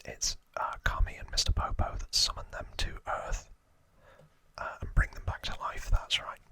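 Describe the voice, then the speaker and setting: A man's voice: normal speed, pushed and bright, dry recording. A man whispering an informal ASMR recap into a close microphone, hesitant and rambling as he corrects himself. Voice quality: whispered, unvoiced. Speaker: ASMR video host.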